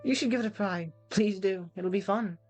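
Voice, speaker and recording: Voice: mid-range voice, moderate pace, darkish tone, muffled recording, faint music behind, voice pushed up, lightly acted. A reader voicing a cheerful, girlish young princess from a visual novel, sweet, playful and teasing. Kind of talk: visual novel character read-aloud.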